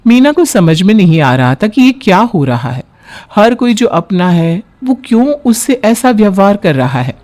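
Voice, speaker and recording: A woman's voice: mid-range voice, big pitch swings, moderate pace, a little mellow. A woman giving scripted storytelling narration for a Hindi family cartoon.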